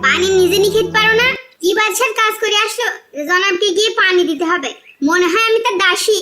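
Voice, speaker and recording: A woman's voice: extremely high pitch, fast talker, pushed and bright, soft background music. A woman playing a poor, envious wife in a cartoon, complaining with a sarcastic edge. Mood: scolding. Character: discontented, envious, scolding poor fisherman's wife. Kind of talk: cartoon dialogue.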